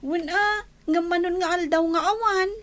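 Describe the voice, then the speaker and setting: A woman's voice: very high voice, fairly even intonation, normal speed, fairly bright tone. A woman playing a curious, childlike schoolgirl daughter in a radio drama, full of questions, with an Ilocano accent. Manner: inquisitive, childlike.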